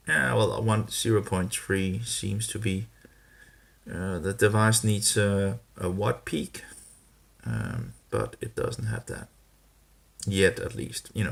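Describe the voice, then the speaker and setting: A man talking through a rambling casual vlog, halting and full of restarts, with grumbling disapproving asides. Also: lowish voice, creaky, fairly bright tone. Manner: hesitant, halting, many restarts and fillers. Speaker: vlogger.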